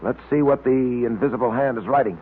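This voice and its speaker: An actor playing a steady, commanding detective hero in a 1930s radio drama, on a tinny old recording. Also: male voice, mid-range voice, a little fast, natural voice. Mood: calm command. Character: steady, commanding heroic male police detective.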